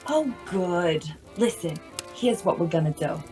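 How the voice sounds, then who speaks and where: Woman acting a slightly low-voiced, motherly wife. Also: expressive, a little slow, dark tone, soft background music. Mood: concerned, plotting. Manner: fussing, kindly. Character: caring, motherly, resourceful wife. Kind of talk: audio play acting.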